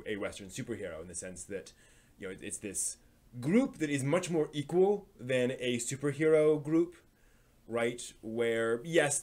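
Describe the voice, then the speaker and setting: Man thinking aloud, halting and analytical. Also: medium pitch. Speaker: live stream host. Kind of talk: casual rambling live commentary on anime and comics.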